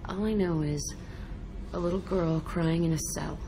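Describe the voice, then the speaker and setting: An actress playing an embittered, tough young ex-prisoner in a video game, blunt, terse and profane. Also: female voice, fairly low pitch, steady tone, normal speed, background noise, natural voice. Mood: bitter, guarded. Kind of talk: video game dialogue acting.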